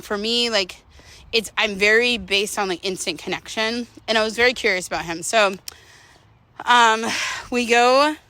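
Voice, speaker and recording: A woman's voice: fairly high pitch, bright, forceful tone. A middle-aged woman telling a personal story casually, in a candid, chatty, rambling way peppered with "like" and "you know". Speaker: storytelling vlogger.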